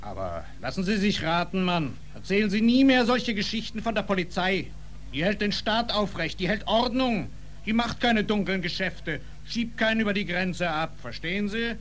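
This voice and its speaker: Pompous official, stern, lecturing, high voice, vintage radio drama, faint noise. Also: male voice, expressive, moderate pace, fairly bright tone. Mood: indignant, admonishing. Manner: lecturing, admonishing. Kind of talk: 1940s German radio play acting. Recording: noisy old radio recording.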